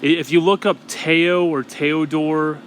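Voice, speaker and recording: A man in his forties in casual chat, recounting stories with halting false starts. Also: fairly high pitch, steady tone, a little hiss or hum. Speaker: guest.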